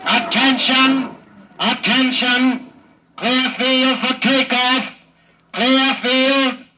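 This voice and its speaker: An actor playing an urgent launch-field announcer calling out drawn-out warnings in a 1950s radio drama. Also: male voice, very high voice, level tone, exaggerated voice. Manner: drawn-out, urgent shouted calls. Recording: noisy 1950s radio recording.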